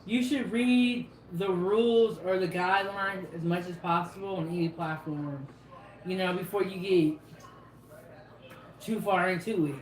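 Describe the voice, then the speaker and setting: A woman's voice: fairly low pitch, steady tone, unhurried. A woman co-hosting a live cooking stream, chatting casually, reading viewer comments and laughing often. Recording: echoey room.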